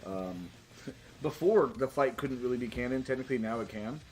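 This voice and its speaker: Male game master, mid pitch, jokey and casual, loose with swearing, some background noise and computer clicks. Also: expressive, moderate pace, rough recording. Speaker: game master of a tabletop role-playing game. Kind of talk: casual, joking live-streamed game narration. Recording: clear background noise, keyboard and mouse clicks.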